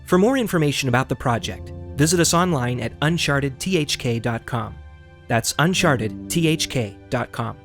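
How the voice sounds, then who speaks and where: A man reading scripted credits as an announcer for an audio drama. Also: mid-range voice. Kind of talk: scripted narration. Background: music.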